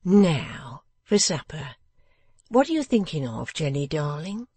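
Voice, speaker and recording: A woman voicing a gentle, patient young woman in a storybook, soothing and affectionate. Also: low-pitched, sing-song, a little slow, breathy edge, no room sound, heavily acted. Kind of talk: dramatised storybook reading.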